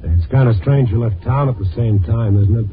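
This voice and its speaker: A man's voice: mid-range voice, a little fast, noisy background, natural voice. A man playing a world-weary, probing newspaper reporter in a 1950s radio noir drama, on a tinny old recording. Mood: hard, insistent. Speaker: narrator and lead actor. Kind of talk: 1950s radio noir acting, first-person narration.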